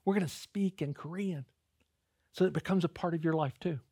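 A man's voice: fairly high pitch, brisk, warm tone, breathy edge, no room sound, clean studio sound. A male pastor giving a conversational sermon, calling his listeners "guys" and sometimes repeating words as he starts a thought.

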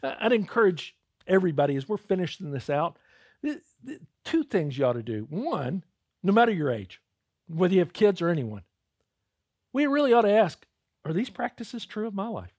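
A man's voice: sits high, big pitch swings, normal speed, a little mellow, dry recording, studio quality. A male pastor giving a conversational sermon, calling his listeners "guys" and sometimes repeating words as he starts a thought.

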